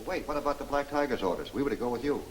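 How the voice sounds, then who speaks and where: A man's voice: medium pitch, expressive, very fast, lightly acted. An actor playing a jumpy, defensive gangster underling in a 1940s crime film serial, talking in an urgent tough-guy manner. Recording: slightly noisy old 1940s film soundtrack.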